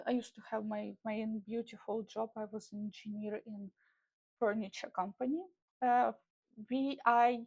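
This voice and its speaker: A young woman from Ukraine with a Ukrainian accent, talking casually in a vlog in loose, filler-filled English. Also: a little high. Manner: chatty, loose non-native English with frequent fillers. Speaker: traveling model.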